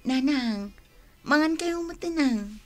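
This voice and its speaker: A woman's voice: high-pitched, expressive, slow pace, darkish tone, faint music behind. A woman acting in a radio drama as a helpful, questioning younger woman of the family, with an Ilocano accent. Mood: pleased.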